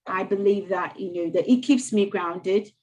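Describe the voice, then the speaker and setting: Soft-spoken woman, halting speech. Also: medium pitch, steady tone. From Ghana. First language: not English. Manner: soft, halting, with many fillers and restarts. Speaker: mayor, interview guest. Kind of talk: casual interview conversation.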